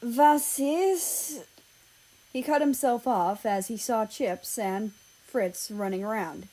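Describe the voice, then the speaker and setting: Slow-paced woman reading a story aloud. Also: medium pitch, dark tone, low-quality recording. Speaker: fan fiction reader. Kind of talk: scripted story narration, read aloud.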